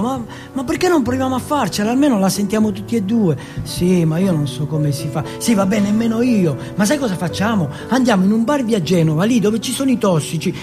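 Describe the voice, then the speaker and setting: Man acting an excitable, high-voiced street kid. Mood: conspiratorial, eager. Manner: slangy, swearing, insistent, repetitive. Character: excitable, foul-mouthed streetwise teenage boy from housing projects. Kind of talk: one-man stage monologue dialogue.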